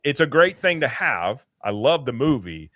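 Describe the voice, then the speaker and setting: A man co-hosting a casual live chat show, talking in an animated, opinionated way. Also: mid-range voice, normal speed, no room sound, studio quality. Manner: animated, slips into impressions. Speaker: podcast co-host.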